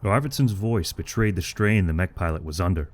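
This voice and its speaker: A man giving dramatic scripted narration of a military story in a measured, grave manner. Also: deep voice, lively intonation, a little mellow.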